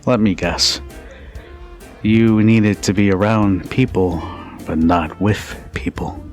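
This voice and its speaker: A man playing a confident, protective bartender in an ASMR roleplay, teasing and flirty in an intimate, unhurried manner. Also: fairly low pitch, soft background music. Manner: teasing, unhurried, with soft chuckles and pauses. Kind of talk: ASMR roleplay, intimate second-person monologue.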